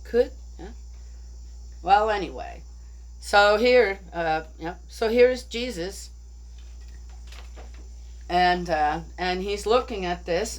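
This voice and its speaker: A woman talking casually through a bible story, thinking aloud and often asking her listeners "right?". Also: mid-range voice. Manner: chatty, rhetorical, keeps asking "right?". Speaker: bible study speaker.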